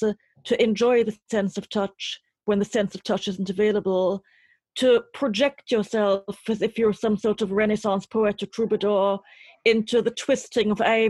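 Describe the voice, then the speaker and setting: Woman being interviewed, thoughtful careful phrasing, bit husky, really dry close sound. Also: mid-range voice, darkish tone, dry recording. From Trinidad. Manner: articulate, literary, deliberate phrasing. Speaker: interview guest, poet and writer. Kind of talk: reflective interview conversation.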